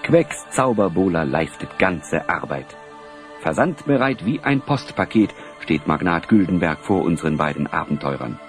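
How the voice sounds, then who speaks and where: A man's voice: low voice, animated, normal speed, faint music behind. A man giving scripted storytelling narration for a children's audio drama.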